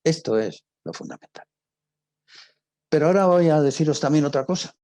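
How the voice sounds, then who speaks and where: A man's voice: on the high side, lively intonation, a little fast, warm tone. A man giving an informal explanatory lesson as a teacher, in a didactic manner, rephrasing as he goes with lists and examples. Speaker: drama teacher and academic.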